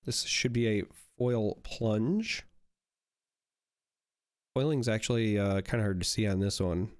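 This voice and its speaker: A young man with a fairly low voice talks casually as he opens card packs, rambling and restarting often. His voice still sounds a little strained from a recent illness. He is recorded up close with a dry, dead sound. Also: a little slow, dry recording. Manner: rambling, many fillers and restarts. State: recovering from illness, voice not fully back. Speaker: card game YouTuber opening packs. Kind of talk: casual pack-opening commentary.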